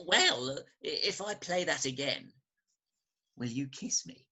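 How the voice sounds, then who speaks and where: Casting: woman as precocious schoolboy, cheeky, bright animated voice, Victorian stage farce. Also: low-pitched, takes it slowly, husky, heavily acted. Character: precocious, cheeky adolescent boy passing for fourteen.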